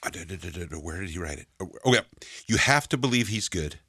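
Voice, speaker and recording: A man's voice: deep voice, lively intonation, fast talker, pushed and bright, breathy edge, dry recording, studio quality. An older man co-hosting a casual faith conversation, telling folksy stories in an earnest, plain-spoken way. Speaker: co-host of church midweek chat.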